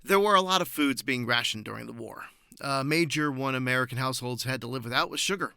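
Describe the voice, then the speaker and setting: A man giving prepared, articulate answers as an interview guest, informative and measured in his phrasing. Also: medium pitch, vocal fry, sharp tone, smooth, dry recording, clean studio sound.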